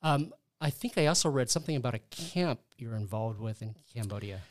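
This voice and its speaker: A man's voice: medium pitch, animated, a little fast. A man hosting a faith-based podcast interview from a university studio, friendly and chatty, with fillers and easy laughter. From Southern California. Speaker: podcast host.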